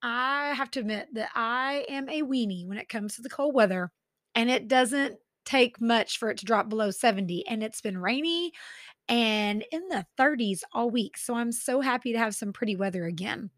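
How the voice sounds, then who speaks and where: Woman, Texan accent, true crime podcast host, stuffy nose, sarcastic asides, slightly high voice. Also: normal speed, a bit bright, dry recording, studio quality. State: stuffy from allergies.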